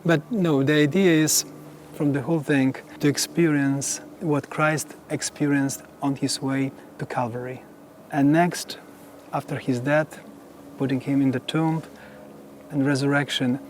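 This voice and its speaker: A man whose first language is Polish, a monk explaining his monastery grounds on a tour in slow, earnest English. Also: mid-range voice, fairly even intonation, slight background noise. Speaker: Carmelite monk giving a tour. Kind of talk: casual guided tour conversation.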